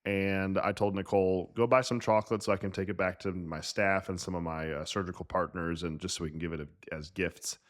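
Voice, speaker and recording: A middle-aged man hosting a health podcast in relaxed, teasing casual chat. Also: low voice, brisk, hardly any pauses. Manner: relaxed, teasing, easy laughs. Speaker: podcast host.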